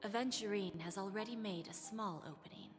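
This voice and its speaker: A woman's voice: mid-range voice, fairly quick, natural voice. Game role: shrewd corporate strategist voiced by a woman, poised and knowing, medium register, reverberant sound. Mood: calculating, assured. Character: poised, calculating senior female corporate strategist. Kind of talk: video game dialogue acting. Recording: long reverb, loud background music.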